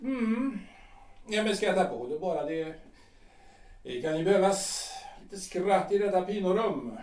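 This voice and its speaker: A man's voice: high voice, big pitch swings, darkish tone, distant mic. A man playing a bitter, aging artillery captain who grumbles and hesitates with many ums, in an audio drama. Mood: grumbling. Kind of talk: Swedish audio drama acting. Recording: roomy, off-mic sound.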